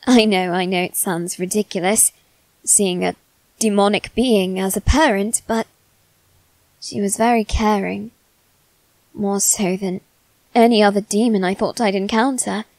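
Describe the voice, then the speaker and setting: A woman voicing a condescending immortal demon boy in an ASMR roleplay, speaking softly with a teasing, smug manner. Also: mid-range voice, fairly even intonation, unhurried, long pauses, no room sound, lightly acted. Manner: soft-spoken, teasing and condescending.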